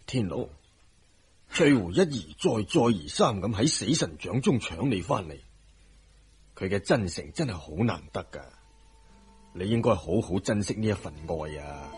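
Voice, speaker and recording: An actor playing a kindly, regretful middle-aged doctor in a Hong Kong Cantonese radio drama, husky and measured, avuncular and a little hesitant. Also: male voice, on the low side, sing-song, slight background noise. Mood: earnest, regretful.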